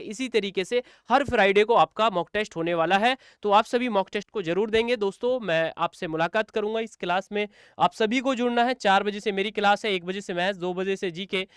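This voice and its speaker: A man's voice: sits high, a bit bright, smooth, no room sound, clean studio sound. A man teaching students in an informal walkthrough, repeating points and answering his own questions. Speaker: exam coaching teacher.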